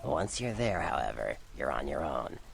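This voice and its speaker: An extremely deep, heavily gravelled male vampire fixer from a video game. He is streetwise, blunt and sardonic, talking with dry contempt and taking his time. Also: very animated, normal speed, exaggerated voice. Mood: gruff, matter-of-fact.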